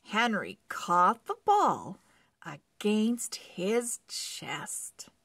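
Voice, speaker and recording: A woman reads a children's story aloud at a very slow, careful pace. Her voice is breathy, with some creak at the edges. She places each phrase deliberately for young readers following along. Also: medium pitch, dry recording. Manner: deliberate, word-by-word phrasing with animated emphasis. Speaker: children's book read-along narrator. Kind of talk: slow expressive read-aloud for early readers.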